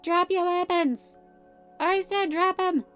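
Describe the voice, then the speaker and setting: A woman's voice: very high-pitched, fairly even intonation, slow, a bit bright, voice pushed up, heavily acted. A woman voicing a hostile male battle droid, barking orders in a mechanical, commanding manner. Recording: dull, band-limited audio with background music.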